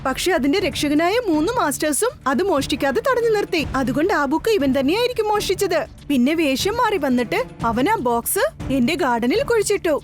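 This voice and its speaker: Eager, very high-pitched boy hero. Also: expressive, fairly quick. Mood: suspicious, explaining. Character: plucky clever young boy hero. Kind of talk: Malayalam cartoon dub acting.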